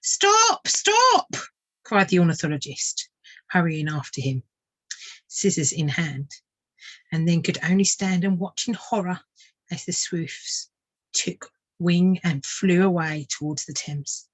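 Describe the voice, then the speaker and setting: Woman reading a kids book out loud, lowish voice, really unhurried, expressive. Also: no room sound. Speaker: tutor reading a children's book aloud. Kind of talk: storybook narration.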